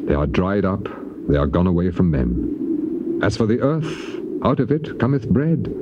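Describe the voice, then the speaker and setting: An actor playing a suffering, defiant biblical patriarch in a grave, declamatory dramatized scripture reading, drawing out the ends of phrases. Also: male voice, sits low, sing-song, a little slow. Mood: grave, stern. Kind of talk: dramatized scripture reading, classical stage acting. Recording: noisy, muffled old cassette tape.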